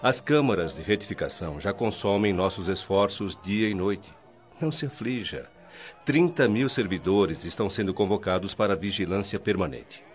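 A kindly, fatherly mentor speaks calmly in a lowish voice. His tone is soothing and settled, in a radio play. The recording sounds dull and lo-fi. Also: moderate pace. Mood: reassuring. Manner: warm, fatherly, gently chuckling. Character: kindly older male mentor, calm and reassuring. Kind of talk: radio drama dialogue.

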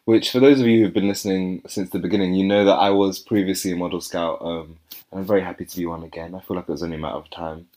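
A young man, chatting casually as a podcast co-host and telling stories with lively quoted voices. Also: deep voice, fast. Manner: chatty storytelling, animated when quoting others. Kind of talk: casual chat.

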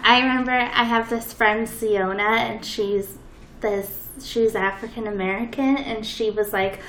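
Higher-voiced woman chatting, echoey room. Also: steady tone, unhurried, a bit bright. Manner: laughs often, anecdotal storytelling. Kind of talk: casual chat. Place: table with four people. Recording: roomy echo with faint room noise.

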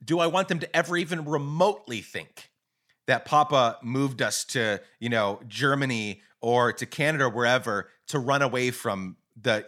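A man's voice: mid-range voice, normal speed. A man in his thirties hosting a podcast, talking casually and with feeling, full of "like" fillers. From grew up in Guatemala. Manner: casual, many fillers like "like", animated. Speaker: podcast host. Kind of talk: podcast interview.

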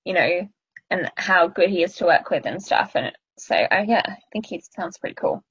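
A woman's voice: on the low side, lively intonation, normal speed, a bit bright, slightly rough. A woman co-hosting a casual film chat, explaining things earnestly with many fillers. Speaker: podcast co-host. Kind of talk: casual chat about films. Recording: dry, dull lo-fi sound.

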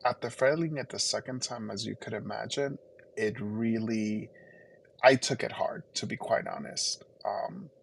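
A man from the Dominican Republic, Spanish his first language, speaks in a lowish, gravelly voice. He talks reflectively with many fillers and restarts as an interview guest. Faint noise hums behind him. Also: a little slow, rough recording. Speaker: podcast guest. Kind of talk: conversational interview storytelling.

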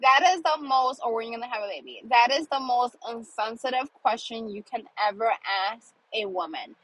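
A woman's voice: fairly high pitch, normal speed, a bit bright, low-quality recording. A woman in her thirties hosting a casual monologue podcast, earnest and emphatic, repeating phrases for emphasis, sounding a little congested. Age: 30s. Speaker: podcast host.

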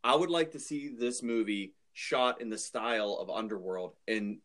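A man's voice: medium pitch, moderate pace, a bit bright. A man hosting a casual podcast, talking in a loose, rambling, informal way with the odd swear word. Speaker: podcast host.

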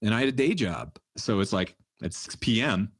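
A man in his thirties hosting a casual livestream Q&A, answering listener questions in a relaxed, rambling way and laughing often. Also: on the low side, normal speed, breathy edge, no echo, clean studio sound. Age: 30s. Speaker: livestream Q&A host. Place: home in the mountains.

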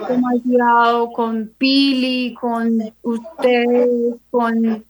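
A woman guest talking casually, haltingly, often repeating words as she goes. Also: high voice, slight background noise, low-quality recording. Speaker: interview guest, fashion designer. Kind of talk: casual, unscripted talk.

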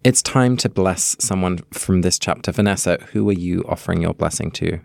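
A man's voice: deep voice, normal speed, creaky, darkish tone, dry recording, clean studio sound. A man co-hosting a podcast, talking in a reflective, casual way. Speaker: podcast co-host. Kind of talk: casual chat.

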